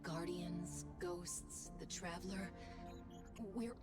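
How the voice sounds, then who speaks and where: Serene mystical woman in a game cutscene, really slow n cryptic, big music over her. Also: lowish voice, steady tone. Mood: solemn, mysterious. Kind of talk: video game cutscene acting.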